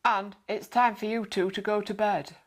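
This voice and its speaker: A woman voicing a kindly working-class Yorkshire father in a children's story, plain-spoken and measured. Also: mid-range voice, fairly even intonation, natural voice. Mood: firm.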